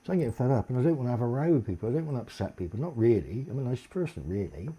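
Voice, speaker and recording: A deep-voiced man, in a blunt, sweary, aggrieved monologue complaining to camera. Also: animated, very fast, dark tone, husky.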